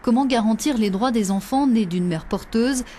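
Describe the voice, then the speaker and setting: A woman narrating a television news report in a measured, scripted voice-over. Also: a little high, dark tone, slight background noise. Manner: measured, clear news delivery.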